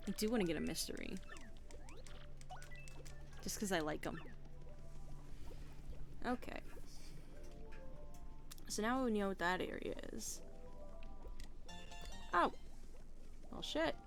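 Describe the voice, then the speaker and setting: Hoarse young woman streamer, slow and mumbly, swears a lot, close mic. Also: mid-range voice, animated, over music. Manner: muttering to herself, swearing casually. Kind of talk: casual game stream chat. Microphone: new close mic.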